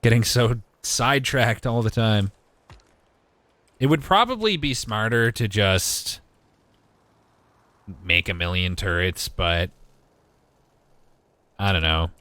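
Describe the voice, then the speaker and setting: A man in his twenties with a deep voice gives casual, wry live gaming commentary and talks back to his chat. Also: lively intonation, takes it slowly, pauses often, fairly bright tone, no room sound. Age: 20s. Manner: wry, joking, mild swearing, talks to chat. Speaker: game streamer.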